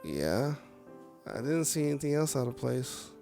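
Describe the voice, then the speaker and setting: A man's voice: medium pitch, big pitch swings, unhurried, gravelly, dark tone. A man voicing a humble, friendly sad clown puppet in a game, casual and self-effacing. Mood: concerned. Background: music.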